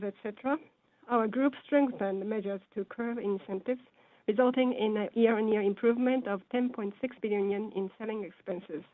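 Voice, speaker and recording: A woman giving a formal, scripted financial presentation in a measured, businesslike way over a conference call. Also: mid-range voice, dry recording. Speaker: presenter on an earnings call, speaking as or interpreting for a company executive. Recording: phone-like narrow-band conference call line.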